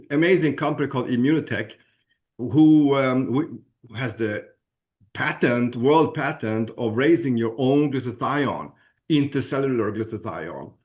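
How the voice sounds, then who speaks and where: A man from Sweden with a Swedish accent gives an informal promotional presentation, haltingly searching for words and clearing his throat. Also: mid-range voice. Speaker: presenter at a business opportunity webinar.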